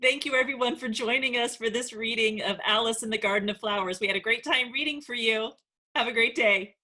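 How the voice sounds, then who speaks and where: A woman's voice: fairly high pitch, fairly quick, sharp tone. A woman announcing as narrator of a children's read-aloud. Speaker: narrator of a reading.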